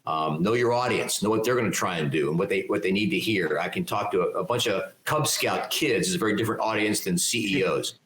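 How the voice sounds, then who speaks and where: Older man, low voice, loud and articulate, emphatic, echoey room. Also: a little fast, hardly any pauses. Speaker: keynote speaker and leadership mentor. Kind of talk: interview answers, conversational.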